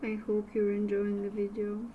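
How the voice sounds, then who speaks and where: A woman from Bulgaria with a Bulgarian accent, a native Bulgarian speaker, teaching an art method in a casual demo and often pausing to search for words in English. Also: medium pitch, monotone, phone-quality sound, a little hiss or hum. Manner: slow, halting, searching for words. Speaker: art instructor.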